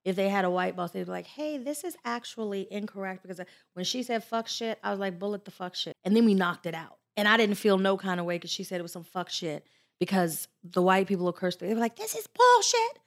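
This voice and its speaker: An American woman talking candidly in a casual panel discussion, blunt, opinionated and quick to swear. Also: mid-range voice, lively intonation. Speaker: podcast panelist.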